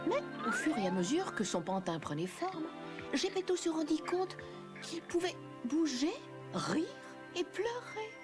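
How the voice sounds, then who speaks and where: A woman storyteller giving an expressive scripted narration for children. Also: sits high, measured pace, over music.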